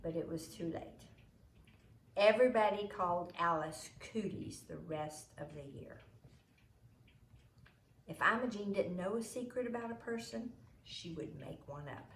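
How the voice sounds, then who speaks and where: A woman's voice: lowish voice, measured pace, long pauses. Woman reading a storybook in an echoey room. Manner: expressive, acts out the dialogue. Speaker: mother reading a children's book aloud. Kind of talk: storybook read-aloud narration. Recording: roomy echo, noisy home recording.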